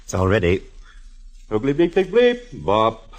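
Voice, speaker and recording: An actor playing a fussy, theatrical, affectionate photographer friend, effusive and genteel, in old radio comedy-drama style. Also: male voice, fairly low pitch, wildly swooping pitch, unhurried. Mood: effusive, fond.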